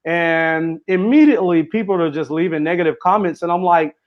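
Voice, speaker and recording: High-voiced man, dull lo-fi sound. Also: fairly quick. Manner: earnest, runs sentences together with fillers. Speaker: interview guest, author and speaker. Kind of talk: casual interview conversation.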